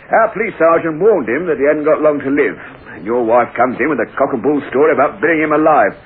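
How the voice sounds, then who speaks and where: Male actor as officious constable, exasperated and very animated, vintage radio play with thin, hissy sound. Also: mid-range voice, narrow, tinny audio, close to an everyday voice. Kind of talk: 1960s radio drama acting. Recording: noisy band-limited old radio recording.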